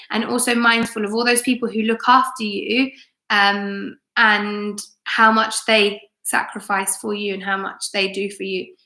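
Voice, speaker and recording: A woman teacher hosting a chatty live story time for children, expressive and encouraging as she speaks directly to her viewers. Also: mid-range voice, gravelly. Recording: echoey room.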